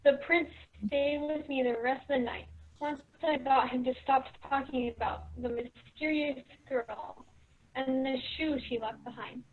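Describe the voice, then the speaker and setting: A woman's voice: high-pitched, fairly even intonation, a little slow, background noise. A woman playing a dreamy, gushing, spoiled young stepsister in a children's play reading. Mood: dreamy boasting. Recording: thin phone-like sound.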